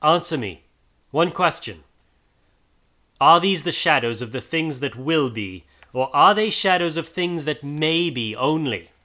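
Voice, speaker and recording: A trembling old miser pleads in a strained, quavering voice that climbs high. A man acts him out in an audiobook. His speech swings widely in pitch, with a sharp, bright edge. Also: expressive, measured pace. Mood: pleading. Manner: emotional, theatrical delivery. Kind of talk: audiobook character voice.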